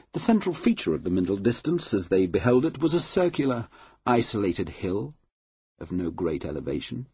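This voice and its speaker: A man reading a classic novel aloud in a measured, unhurried and formal way. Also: lowish voice, darkish tone, breathy edge, no echo. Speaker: audiobook reader. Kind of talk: scripted literary narration.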